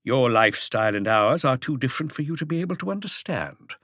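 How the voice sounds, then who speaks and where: A man's voice: medium pitch, lively intonation, fast talker, fairly bright tone, no echo, pitched above the performer's own voice. A composed, imperious society wife in a mystery audiobook, acted by a man. She speaks formally and curtly, with cool defiance, in a very dry recording. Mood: haughty. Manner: formal, haughty, curt.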